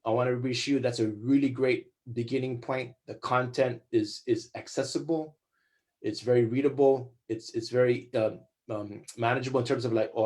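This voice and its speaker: A man's voice: mid-range voice, a little mellow. A man from Guam giving an enthusiastic panel presentation over a video call, listing example after example with occasional fillers. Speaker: guest speaker on curricula.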